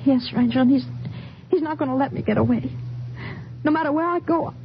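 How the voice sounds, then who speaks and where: A noisy, muffled old radio recording of a high-voiced young woman. She is a frightened, wavering witness, speaking in hesitant, trembling, pleading phrases in 1950s radio style. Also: brisk. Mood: anxious, resigned.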